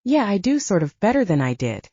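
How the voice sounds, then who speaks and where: A woman voicing an earnest, haunted young male half-werewolf in an audiobook, speaking in a halting, reflective way. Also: mid-range voice, sing-song, dark tone, breathy edge, no room sound. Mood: troubled, reflective. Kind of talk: audiobook character dialogue. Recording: dull, lo-fi, narrow sound.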